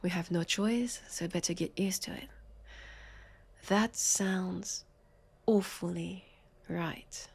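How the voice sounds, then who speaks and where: A woman with a French accent playing a weary, sardonic vampire police officer in an audio roleplay, halting and exasperated. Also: fairly low pitch, gravelly, breathy edge, full character voice. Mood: weary, wry. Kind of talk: scripted audio roleplay acting.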